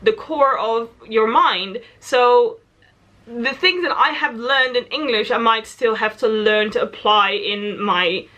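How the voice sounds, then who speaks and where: Dutch woman in her twenties, travel vlogger, fairly high, bright voice, thinks aloud and corrects herself as she goes. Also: takes it slowly, muffled recording. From Netherlands. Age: 20s. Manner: thoughtful, earnest, self-correcting. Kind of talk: reflective personal vlog talk.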